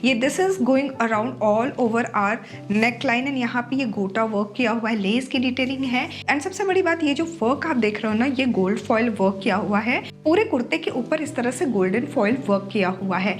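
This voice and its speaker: A woman's voice: on the high side, lively intonation, normal speed, soft background music. A young woman with a slightly sore throat, chatting casually as she reviews outfits for her channel and switching freely between Hindi and English. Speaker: fashion vlogger. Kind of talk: casual haul review to camera.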